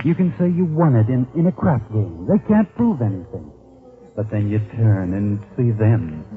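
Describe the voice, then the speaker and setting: A man acting as a sardonic, menacing narrator in a 1940s radio suspense drama, addressing his listener mockingly and dramatically. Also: lowish voice. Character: sardonic, menacing male narrator taunting a criminal. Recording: tinny 1940s radio recording, music behind. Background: dramatic music.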